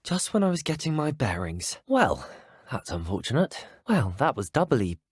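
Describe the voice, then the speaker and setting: Well-spoken, animated British student wizard. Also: male voice, medium pitch, normal speed, husky, dry recording, studio quality. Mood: wry, exasperated. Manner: courteous, well-spoken, dryly wry. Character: polite, plucky teenage male student wizard hero. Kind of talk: video game voice acting, short dialogue lines.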